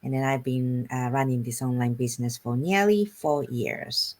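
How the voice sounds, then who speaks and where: A woman's voice: rumbling low, moderate pace. A woman from Japan introducing herself on a live chat, listing facts in short, plain clauses. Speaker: guest business owner. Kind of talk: casual chat.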